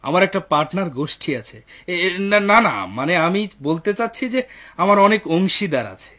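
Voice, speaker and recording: Sharp-voiced scheming bandit chief. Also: male voice, high voice, expressive, normal speed, heavily acted. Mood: feigned politeness. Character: scheming vengeful bandit chief posing as oil merchant. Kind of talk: dubbed cartoon acting.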